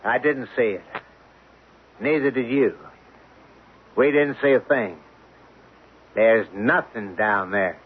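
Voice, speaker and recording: A deferential, reluctant American rig hand in a radio drama. His voice is medium in pitch and a bit rough. The old recording sounds narrow and tinny. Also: slow, pauses often. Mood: reluctant, firm.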